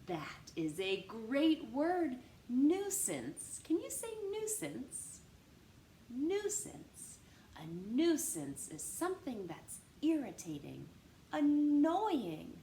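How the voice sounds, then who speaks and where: A woman reading a story aloud to children in an animated, teacher-like way, stressing words and posing questions. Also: on the high side. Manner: expressive teacher-like delivery, dramatic gasps and emphasis. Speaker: storytime reader. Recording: roomy echo with faint background noise.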